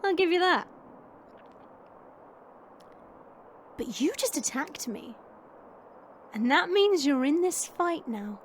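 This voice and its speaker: Woman playing teasing immortal fighter girl, audio drama, high-pitched, animated, cocky, slangy, reluctant to hurt. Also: a little slow, slight background noise, heavily acted. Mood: calm warning. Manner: casual, taunting, slangy. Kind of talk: dramatic audio roleplay acting.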